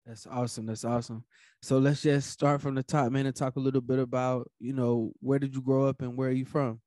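A man's voice: mid-range voice, little pitch movement, brisk, warm tone, no room sound, studio quality. A man hosting a podcast and asking questions in a casual, conversational way. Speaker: podcast host. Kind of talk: casual interview.

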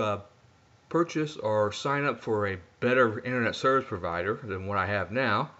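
A man's voice: on the low side, fairly bright tone. A man in his fifties, an internet radio broadcaster making an earnest, pleading appeal to camera in short emphatic phrases, recorded on a webcam at home. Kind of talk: earnest scripted plea to camera. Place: small room at home, indoors. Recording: dull lo-fi webcam sound.

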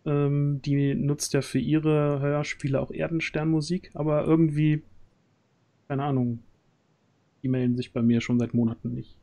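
A man's voice: a little high, level tone, moderate pace, warm tone. A man in his thirties hosting a casual livestream chat from a small room at home, speaking into a close microphone. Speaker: host of a role-play audio drama project stream. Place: small room at home, on a group video call. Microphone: close boom-arm studio mic, headset.

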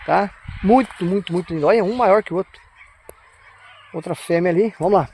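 A man with a southern Brazilian accent and a fairly high voice narrates as he walks between animal enclosures, recording on his phone. He speaks to his viewers with eager, repeated exclamations of awe. Outdoor noise and animal sounds stay loud behind him. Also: a little fast. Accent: Brazilian Portuguese, southern Brazil. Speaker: vlogger filming a zoo visit. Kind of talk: casual handheld narration. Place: outdoor wildlife park with enclosures. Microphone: phone camera held while walking. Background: outdoor ambience and animal sounds.

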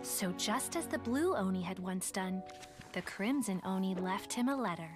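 Woman as a gentle storybook narrator over music. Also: mid-range voice, animated, natural voice. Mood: wistful. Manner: soft, unhurried storytelling. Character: gentle storybook narrator of an old folk tale. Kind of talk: scripted fairy-tale narration. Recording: music clearly behind.

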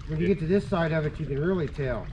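A man with a slightly raised voice chats bluntly and swears freely as a fishing partner on a bass boat. Loud wind and boat noise fill the outdoor recording. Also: quick pace. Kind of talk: casual chat.

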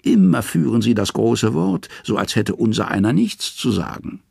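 A man's voice: medium pitch, very animated, normal speed, vocal fry, darkish tone, slightly rough, no echo, clean studio sound, pitched above the performer's own voice, full character voice. A man voicing a scheming, resentful witch in a storybook reading, indignant and agitating.

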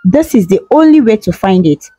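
Woman voicing a calm, kindly young princess in a storybook. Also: mid-range voice, normal speed, dry recording, soft background music. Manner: calm and reassuring.

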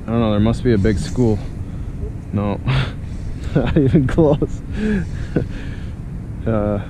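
A man out fishing on a small boat, exclaiming and chatting as he works. His voice sits in a middle pitch. He is recorded on an action camera, with clear wind and boat noise behind him. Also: lively intonation, takes it slowly. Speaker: angler filming his trip. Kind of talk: casual running commentary. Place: small fishing boat outdoors on open water. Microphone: head-mounted action camera. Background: wind, water and boat noise.

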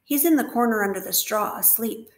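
A woman voicing a weak, dying female spider who speaks quietly and calmly, heard with a roomy echo. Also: fairly high pitch, a little fast, natural voice. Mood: weary calm. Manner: quiet, calm, weary.

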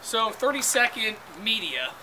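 A man's voice: high voice, very animated, measured pace. A man in his twenties doing improvised comedy and casual chat, physically active as he acts things out outdoors. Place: outdoors on a park lawn. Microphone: camera a few meters away. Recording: noisy outdoor camera audio.

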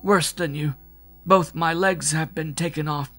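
A man's voice: fairly high pitch, fairly even intonation, measured pace, fairly bright tone, soft background music, voice pushed up. A man voicing a wounded Martian, a former enemy who is stoic and friendly, in a science fiction story. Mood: stoic greeting.

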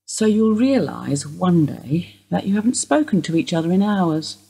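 An actress playing a blunt, wry older widow being questioned by police in a radio play. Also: female voice, fairly low pitch, lively intonation, moderate pace, gravelly, warm tone, husky, full character voice. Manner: dry, wry, unsentimental. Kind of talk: radio drama dialogue.

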